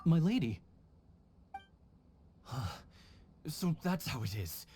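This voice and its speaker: A man playing a brisk, dutiful retainer in a video game, commanding yet respectful. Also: on the high side, big pitch swings, dark tone, breathy edge, full character voice. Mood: concerned. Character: brisk, dutiful retainer guarding a noble lady. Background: background music.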